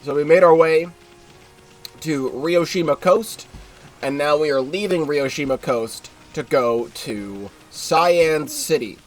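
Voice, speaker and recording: A man's voice: a little high, slow, faint music behind. A congested young man giving rambling, casual commentary while streaming a game live, often correcting himself and swearing offhand.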